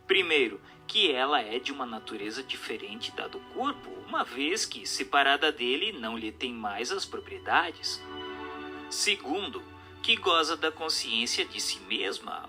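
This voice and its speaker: A Brazilian man delivers scripted dramatic narration in a slightly high, very bright and forceful voice. His pitch moves in lively sweeps as he explains and poses rhetorical questions with didactic emphasis. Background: music under the voice.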